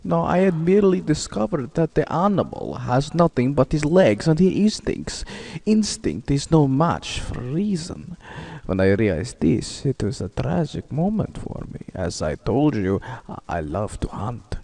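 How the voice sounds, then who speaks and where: An actor playing a suave, boastful aristocratic general who hunts men, in a courtly, theatrical old-time radio drama style. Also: male voice, a little high, big pitch swings, unhurried, gravelly, hardly any pauses, warm tone, full character voice. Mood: courtly, reflective.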